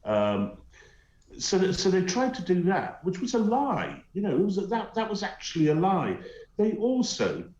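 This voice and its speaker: Middle-aged Teesside commentator, emphatic and scornful, lively pitch, heard over a dull roomy link. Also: male voice, normal speed. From North East England. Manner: emphatic, scornful, hesitant with many uhs. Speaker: guest commentator. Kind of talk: opinionated talk show commentary. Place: remote link from home.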